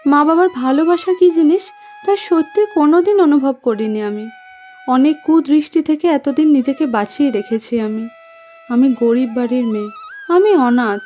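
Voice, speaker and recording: Young orphan village woman, female actor: high voice, plaintive and reproachful cartoon drama delivery, faint musical backing. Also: a little mellow.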